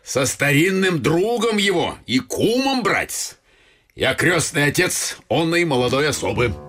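An actor playing a blunt, nosy, self-important middle-aged family friend with pompous, old-fashioned speech in a theatrical radio comedy. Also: male voice, fairly high pitch, big pitch swings, unhurried, fairly bright tone, full character voice. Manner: pompous, old-fashioned officialese, folksy asides.

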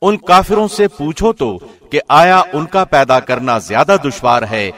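A man reading a scripture translation formally, in the measured, solemn declamation of a radio presenter. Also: on the high side, animated, a bit bright.

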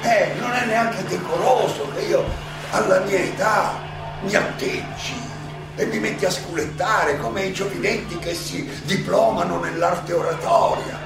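Old greek philosopher defending himself onstage, ironic n indignant, keeps repeating himself, far off in big echo, music behind. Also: male voice, high voice, creaky. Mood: insistent, mocking. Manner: halting, rhetorical, repeats phrases, interjects questions. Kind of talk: theatrical stage monologue. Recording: very echoey, distant miking, music behind.

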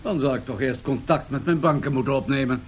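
A man playing a confident, smooth-talking industrial magnate in a Dutch radio drama, self-assured and persuasive. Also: medium pitch, quick pace, lightly acted. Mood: defensive. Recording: noisy old radio drama recording.

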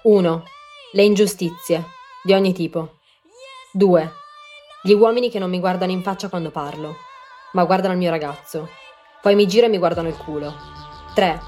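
Low, dark-voiced woman, deadpan monologue. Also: moderate pace, pauses often, faint music behind. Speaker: podcast writer and narrator. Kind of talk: scripted first-person monologue, numbered list.

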